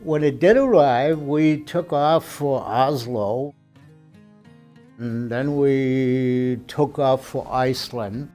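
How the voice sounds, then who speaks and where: An older man in his seventies, born in Denmark, recounts his past in a slow, conversational way, pausing on fillers as he goes. His voice is dark and mellow. Soft guitar music plays faintly underneath. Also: medium pitch. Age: 70s. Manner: unhurried, conversational storytelling with frequent fillers. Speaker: interviewee. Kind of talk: oral history interview.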